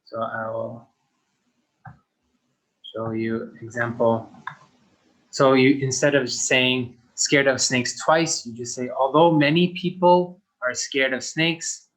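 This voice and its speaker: A male teacher walks young pupils through an English grammar lesson at an unhurried, careful pace. His pitch sits in the ordinary middle range, and he keeps his wording simple and clear for children. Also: steady tone, a bit bright, rough recording. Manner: speaks slowly and simply for young learners. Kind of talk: slow, deliberate recorded English lesson.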